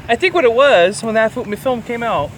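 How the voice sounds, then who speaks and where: A man co-hosting a podcast, chatting casually about films in an animated, sometimes crude way. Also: extremely high pitch, normal speed, slight background noise, low-quality recording. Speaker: podcast co-host. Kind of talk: casual film review chat.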